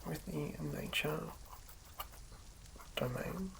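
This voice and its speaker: An ASMR host speaking in a hushed, halting whisper, musing aloud through unscripted commentary. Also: mid-range voice, vocal fry, noisy background.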